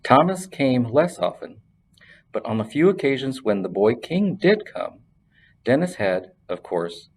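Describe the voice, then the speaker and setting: A man's voice: mid-range voice, big pitch swings, unhurried, slight background noise. A man presenting and reading a fantasy story aloud as scripted narration. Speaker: library reader and presenter.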